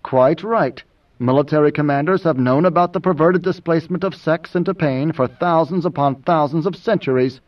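A man voicing a world-weary older majordomo with a measured, cutting, lecturing manner and quiet command, in an audiobook. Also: fairly high pitch, far from the mic, highs cut off, lightly acted. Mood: mild lecturing.

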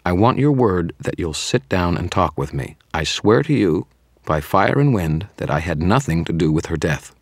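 A man's voice: low voice, expressive, moderate pace, no room sound. A man voicing a stressed, sardonic male wizard who talks urgently and emphatically. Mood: pleading.

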